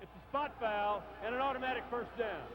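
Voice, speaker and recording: A man's voice: very high-pitched, a little fast, low-quality recording. A man announcing penalty rulings formally as a football referee.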